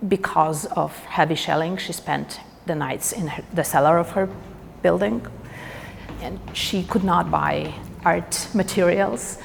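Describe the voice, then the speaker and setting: A woman's voice: lowish voice, a little slow, creaky, slight background noise. A woman speaking as an exhibition curator in a documentary interview, recorded in a reverberant room. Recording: reverberant hall, faint music behind.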